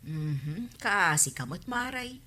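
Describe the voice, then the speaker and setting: A woman's voice: lowish voice, sing-song, slow pace, bright, forceful tone, exaggerated voice. A woman playing a sympathetic, gossiping village friend in an Ilocano comedy radio drama.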